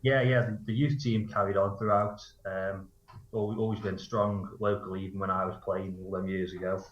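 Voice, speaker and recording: A man gives casual, matter-of-fact interview answers as a coach, feeling his way through phrases. His voice is fairly low, and the sound is clearly roomy and slightly dull. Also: little pitch movement, normal speed. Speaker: football coach, interview guest.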